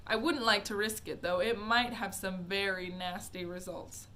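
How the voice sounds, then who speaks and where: Lady putting on a fussy, eccentric chocolate guy, all exclamations, bright voice. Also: mid-range voice, normal speed, lightly acted. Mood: flustered. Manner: theatrical, exclamatory. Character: eccentric excitable male chocolate maker.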